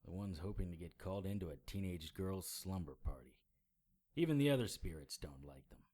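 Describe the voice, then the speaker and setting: Low, sardonic hard-boiled detective. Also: male voice, expressive, fairly quick, mellow. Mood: sarcastic, wary. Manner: sarcastic, world-weary, blunt. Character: hard-boiled sardonic male occult investigator, narrating his case. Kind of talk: noir audio drama acting with narration.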